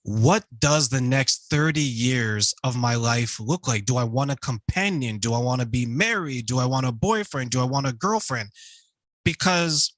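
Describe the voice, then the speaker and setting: A man's voice: mid-range voice, moderate pace, no room sound. Laid-back male host, dry sound. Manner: relaxed, laughs easily, asks long questions. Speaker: show host. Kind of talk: conversational interview.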